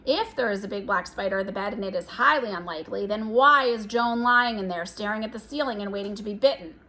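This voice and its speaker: A woman's voice: medium pitch, fast, pushed and bright, low-quality recording. A woman voicing a mild, easygoing father in a storybook. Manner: mild, teasing.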